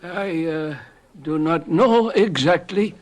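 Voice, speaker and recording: An actor playing a nervous, evasive old shopkeeper, halting and full of fillers, in a radio drama. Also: male voice, on the high side. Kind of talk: 1970s radio drama acting.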